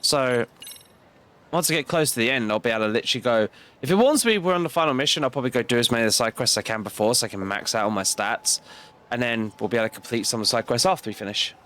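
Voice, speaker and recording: A man streaming a game, chatting loosely to viewers in a rambling way, his voice worn raspy and with a cough. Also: mid-range voice, rapid-fire. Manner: relaxed, rambling chat to viewers. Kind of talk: casual gameplay commentary.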